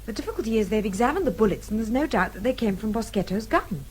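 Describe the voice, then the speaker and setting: A woman's voice: on the high side, big pitch swings, fast talker, darkish tone, a little hiss or hum. An actress playing a polite, plucky young British woman in a radio drama, with a well-mannered, earnest way of speaking and a British accent. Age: young adult.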